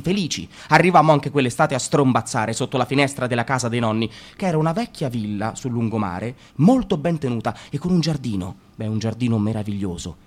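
Expressive male radio drama narrator. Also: mid-range voice. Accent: Italian. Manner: expressive, swinging from hushed to loud.

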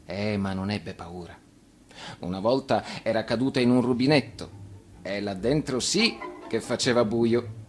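A man reading a children's tale as storyteller, in an expressive scripted narration. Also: lowish voice, a little slow, faint music behind.

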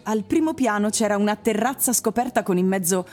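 A woman of middle pitch delivers dramatised literary narration for radio. She describes things like a storyteller, and music plays softly behind her. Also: lively intonation, a little fast. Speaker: actress narrator.

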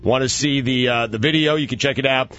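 A man's voice: medium pitch, steady tone, a little fast, fairly bright tone. Joking male sports radio host. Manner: joking, sarcastic, reads promos. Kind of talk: casual sports talk radio banter. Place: radio studio. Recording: muffled dry studio audio.

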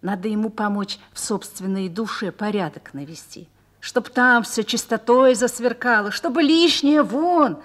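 A woman's voice: a little high, unhurried, few pauses, fairly bright tone. An actress playing a seasoned, motherly garrison officer's wife who instructs earnestly and persuasively in a Russian radio play.

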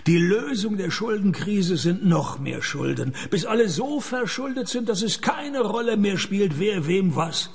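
World-weary private eye, ironic, gravelly creak, fairly high for a man, radio play. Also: unhurried, hardly any pauses, exaggerated voice. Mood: sardonic. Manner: dry, deadpan, ironic. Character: world-weary, sardonic middle-aged male private detective narrating his case. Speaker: lead actor and narrator. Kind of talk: noir radio play narration and dialogue.

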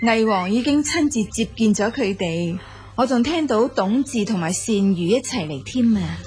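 A woman's voice: fairly high pitch, lively intonation, moderate pace, darkish tone, faint music behind, natural voice. A woman acting in a Cantonese audio drama as a gracious empress of ancient China, stately and reassuring. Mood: admiring. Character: gracious ancient Chinese empress, praising and consoling.

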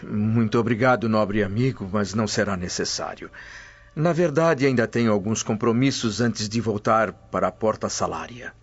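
A man's voice: on the low side, moderate pace, natural voice. An actor with a Brazilian accent playing a humble, courteous, evasive Roman man in a radio drama. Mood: polite, evasive. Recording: dull, muffled studio track.

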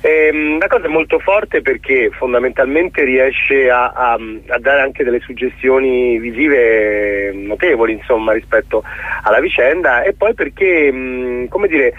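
A middle-aged man from the Middle East, a theatre director being interviewed, explaining his work haltingly and searching for words. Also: medium pitch, no echo. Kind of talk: radio interview, explaining a production. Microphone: telephone call. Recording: thin, phone-like line.

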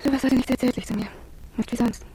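A woman playing a cool, sensual lover in a radio drama, speaking in a soft, hushed, intimate way. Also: sits high, steady tone, fast talker, a little hiss or hum, natural voice. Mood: intimate, questioning.

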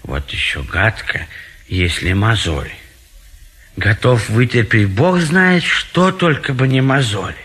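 Fussy bachelor gentleman, swooping bright voice. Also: mid-range voice, expressive, takes it slowly, breathy edge, heavily acted. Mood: fretful musing. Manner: hesitant, ruminating, repeats himself. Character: fussy, indecisive gentleman bachelor pondering marriage. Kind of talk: theatrical Russian classic comedy audio play.

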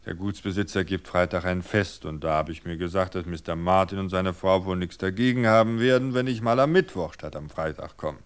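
An old village gardener from a storybook audio drama, acted out by a male narrator. He talks in a folksy, unhurried way, chatty and easygoing, and grumbles with old-fashioned opinions. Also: low voice, clean tone, natural voice. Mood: chatty, deferential. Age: older.

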